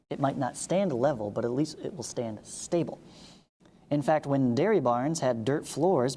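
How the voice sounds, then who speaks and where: In a reverberant space, a woman with a low, soft-edged voice reads instructional material aloud. She teaches methodically, step by step, adding brief asides to the listener. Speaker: math teacher, video host. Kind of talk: read-along lesson, reading textbook aloud with asides.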